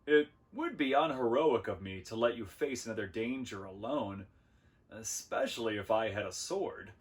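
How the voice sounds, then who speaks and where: A man's voice: lowish voice, normal speed, lightly acted. Man voicing a big, boastful would-be hero. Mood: heroic resolve. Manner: grandiose, earnest declamation.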